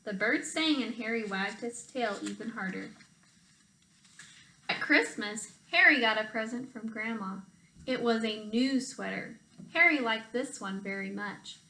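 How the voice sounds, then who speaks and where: Woman, higher-pitched, crisp bright tone, storytime reader, expressive phrasing, picture-book narration for kids. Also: unhurried, a little hiss or hum, low-quality recording. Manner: expressive, emphasizes phrases for children. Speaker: storytime reader for children, teacher. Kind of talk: animated picture-book read-aloud.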